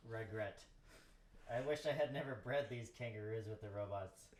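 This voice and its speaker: A man's voice: fairly low pitch, normal speed, natural voice. A man playing a doomed, regretful mutant scientist, grave and mock-solemn, in an amateur comedic radio play. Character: doomed, regretful male scientist turned kangaroo cyborg. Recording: roomy, distant, faint noise and clicks.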